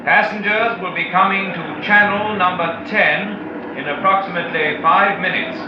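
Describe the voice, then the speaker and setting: Radio drama: formal flight announcer, high-pitched, deliberate, booming echo, muffled public-address sound. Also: male voice, fairly even intonation. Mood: measured. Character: formal, measured airport tannoy announcer. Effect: echoing public-address system. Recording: echoey, dull, noisy tannoy.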